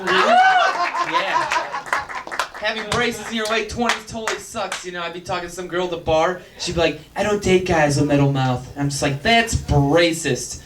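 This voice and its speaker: A man in his late twenties doing a stand-up set, nerdy and self-deprecating with quick asides. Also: sits high, sing-song, over music. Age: 20s. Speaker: stand-up comedian. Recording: echoey club, far mic, crowd.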